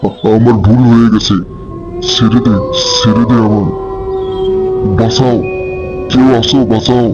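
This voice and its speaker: A man playing a drunkard village husband, irritable and boastful, in an animated horror story, with drawn-out delivery. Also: mid-range voice, lightly acted. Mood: terrified pleading. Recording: dull audio with background music.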